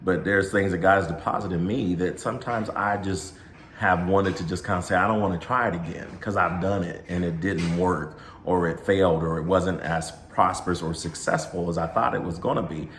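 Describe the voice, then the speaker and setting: A man co-hosting a casual faith talk, soft-spoken and affirming. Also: sits low, steady tone, fairly quick, roomy sound, slight background noise.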